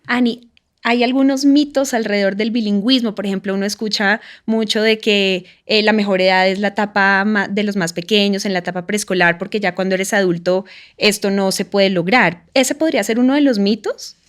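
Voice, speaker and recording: Woman, podcast host, mid-pitched voice, asks clear questions, affirming. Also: a little fast. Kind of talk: conversational interview.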